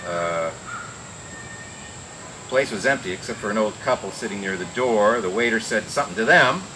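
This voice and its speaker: A man's voice: fairly low pitch, expressive, natural voice. Guy doing a world-weary radio detective, wisecracking, stumbles a bit, lots of background noise. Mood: brooding narration. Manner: halting read with stumbles and wry asides. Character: hard-boiled wisecracking male private detective, world-weary narrator. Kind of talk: 1940s-style radio noir acting and narration.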